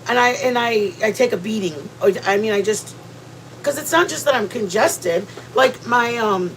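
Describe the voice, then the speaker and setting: A woman's voice: fairly high pitch, measured pace, a little hiss or hum, poor audio. A woman hosting a livestream from home, sounding congested and rambling chattily with many restarts. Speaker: livestream host. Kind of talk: casual livestream chat. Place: at home, near the kitchen.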